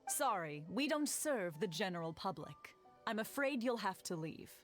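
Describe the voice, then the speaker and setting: A woman's voice: medium pitch, very animated, normal speed, natural voice. A woman playing an aloof, formal female teahouse attendant in an anime video game. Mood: coolly dismissive. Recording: game music behind the voice.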